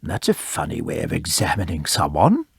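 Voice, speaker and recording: A woman's voice: rumbling low, wildly swooping pitch, normal speed, vocal fry, husky, dry recording, clean studio sound, pitched below the performer's own voice, full character voice. A woman voicing a kindly but indignant king in a storybook, with a stately, protesting manner. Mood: doubtful, displeased.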